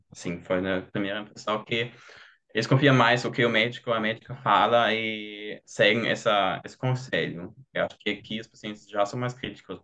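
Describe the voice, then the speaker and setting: A young German man with a German accent, a podcast guest talking casually in his second language and often pausing to search for words. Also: lowish voice, fairly bright tone. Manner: searches for words, many "tipo" fillers. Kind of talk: casual conversation.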